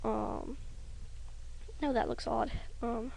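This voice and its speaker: A young woman rambling hesitantly over a drawing tutorial, often trailing off into soft near-whispers. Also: a little high, steady tone, slow pace, creaky, rough recording. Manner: rambling, hesitant, self-conscious, many ums. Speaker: hobby animator.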